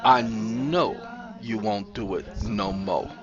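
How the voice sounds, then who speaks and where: A man voicing a cold, menacing pimp who speaks low and quietly. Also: slow, dull audio, over music, close to an everyday voice.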